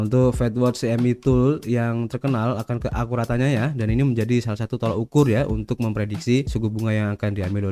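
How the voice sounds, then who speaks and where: A man's voice: mid-range voice, dark tone, slight background noise. A man with an Indonesian accent, hosting a casual crypto news roundup with a stuffy nose from a cold, peppering his lines with "ya" and "guys". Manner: chatty, adds "ya" and "guys" to most lines. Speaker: crypto news channel host.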